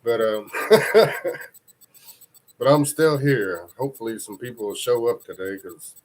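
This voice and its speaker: A man's voice: lowish voice, measured pace, rough recording. A congested man hosting a casual live news stream, rambling loosely and greeting viewers from the chat. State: congested from a cold.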